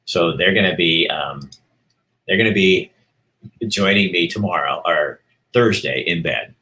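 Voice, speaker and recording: A man's voice: deep voice, a little slow, sharp tone, rough recording. A middle-aged man from Oregon hosting a casual live stream, talking candidly to viewers and thinking aloud as he rambles. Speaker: show host.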